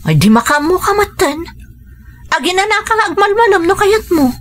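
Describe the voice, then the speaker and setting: A woman's voice: very high voice, big pitch swings, normal speed. An exasperated Ilocano wife and mother. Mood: exasperated, reassuring. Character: Barbara, hard-working exasperated wife and mother. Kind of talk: Ilocano radio drama acting.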